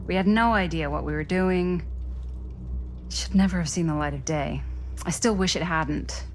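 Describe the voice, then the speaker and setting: Weary, skeptical lowish-voiced woman actress. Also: moderate pace, slight background noise, close to an everyday voice. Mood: weary, dismissive. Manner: dry, dismissive. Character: weary, skeptical, regretful middle-aged woman. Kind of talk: cinematic video game acting. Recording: music under the voice.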